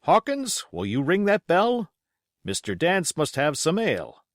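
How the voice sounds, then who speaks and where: A man's voice: on the high side, huge pitch swings, unhurried, no room sound, studio quality, pitched above the performer's own voice. A man voicing a bluff, hot-headed country squire in an audiobook, exclamatory, grand and condescending. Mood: stately, hearty.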